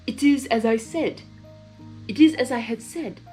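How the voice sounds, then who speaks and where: A woman voicing a wise, cryptic female zebra who speaks in measured, solemn rhymes in a story reading. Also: fairly high pitch, mellow, soft background music, natural voice, poor audio. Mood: knowing.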